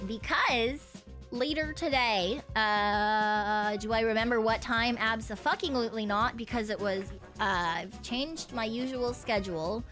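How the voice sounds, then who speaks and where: A woman's voice: on the high side, a bit bright. A woman chatting casually on a live stream with a slight frog in her throat. She rambles, swears casually and laughs often.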